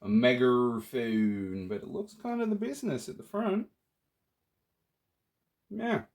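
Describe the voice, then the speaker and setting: A man's voice: mid-range voice, huge pitch swings, takes it slowly, darkish tone. A playful Australian man chatting to viewers as he builds a theatre prop. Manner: playful, chatty, talks to viewers while working. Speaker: prop maker. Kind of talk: casual workshop tutorial.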